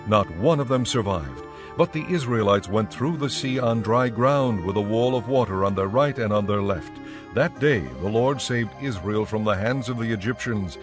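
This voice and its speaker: A man narrates scripture as a dramatized audiobook. His voice is fairly low, and his delivery is formal and measured. Music runs clearly behind the reading.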